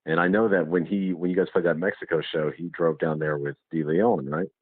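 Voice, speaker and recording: A man's voice: low voice, steady tone, brisk, husky, dry recording. A man interviewing a musician over a muffled phone line in a casual, hesitant way, rambling through his questions.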